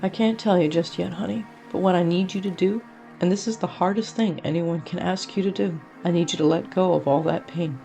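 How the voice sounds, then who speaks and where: Woman reading as patient male angel, soft mellow tone. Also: fairly low pitch, fairly even intonation, a little fast, gravelly, faint music behind. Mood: tender, coaxing. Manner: calls listener honey and darling, coaxing. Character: soothing male angel, patient, tender, gently blunt. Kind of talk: short story reading.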